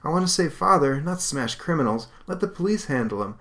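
A man's voice: a little high, animated, a little fast, pushed and bright, pitched above the performer's own voice. A man voicing a cautious, peace-loving young comic-book hero who pleads and reasons in a whiny tone. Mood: pleading.

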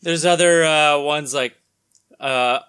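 A man's voice: a little high, takes it slowly, sharp tone, clean tone. A man co-hosting a podcast, chatting casually and turning theatrical when he reads comic-book narration aloud. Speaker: podcast co-host.

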